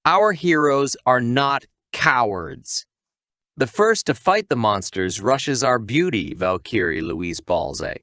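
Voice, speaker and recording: Man narrating a recap, lively swooping pitch. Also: slow, no room sound, studio quality. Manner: playful asides and rhetorical questions to the viewer. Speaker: anime recap narrator, channel host. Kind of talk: scripted story recap narration.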